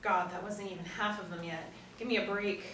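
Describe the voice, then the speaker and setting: An actress reads an impatient, irritated woman in a TV drama script. The voice is medium-pitched and blunt, heard roomy and away from the mic over faint noise. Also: fairly even intonation, a little fast, fairly bright tone, natural voice. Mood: exasperated. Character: impatient, exasperated woman drawn into a mystery. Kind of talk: table-read drama. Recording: echoey room, distant mic, faint noise.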